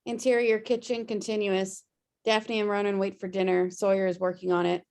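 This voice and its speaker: A woman's voice: medium pitch, little pitch movement, moderate pace, vocal fry, darkish tone. A woman reading scripted stage directions as narrator of a table read over a video call.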